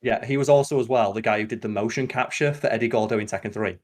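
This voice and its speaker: A man talks very quickly in casual podcast chat. He is opinionated and swears freely, with the loose delivery of a host talking to a friend. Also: medium pitch.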